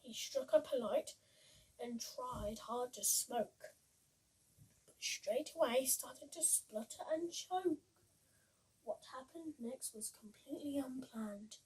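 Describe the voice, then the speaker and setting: A female reader giving an animated, slightly halting read-aloud of a children's story. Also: sits high, slightly rough. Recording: voice far from the mic.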